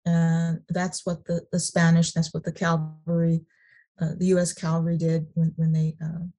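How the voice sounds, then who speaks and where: Middle-aged woman telling her story, kinda low voice, lots of stops and repeats. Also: completely flat delivery, dark tone. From Sacramento, California. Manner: halting, many restarts and repeated words. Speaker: speaker sharing at a recovery meeting. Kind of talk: personal sharing, unscripted.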